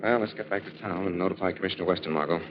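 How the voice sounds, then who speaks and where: An actor playing a confident, authoritative gentleman detective explaining his plans in 1940s radio style. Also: deep voice, very fast, close to an everyday voice. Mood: matter-of-fact. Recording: dull, noisy old radio recording.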